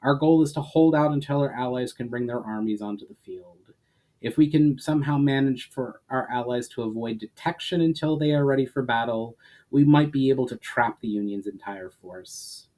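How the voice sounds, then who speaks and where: A man's voice: mid-range voice, normal speed, darkish tone, close to an everyday voice. Man reading as a commanding, matter-of-fact elf war leader. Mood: grave, explanatory.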